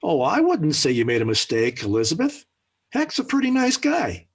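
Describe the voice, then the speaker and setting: A husky, highish-voiced middle-aged American dad in an old radio sitcom. He is sarcastic and quick to bristle, swinging up and down in pitch as he jabs. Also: huge pitch swings, full character voice. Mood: dry. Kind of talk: 1940s radio sitcom acting. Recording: old 1940s radio recording.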